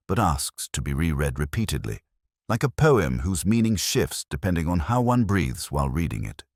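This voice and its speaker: A man playing a brooding, melancholy police inspector, narrating his notebook in measured, reflective, literary prose. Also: extremely low pitch, no echo, studio quality, exaggerated voice. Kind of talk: literary first-person scripted narration.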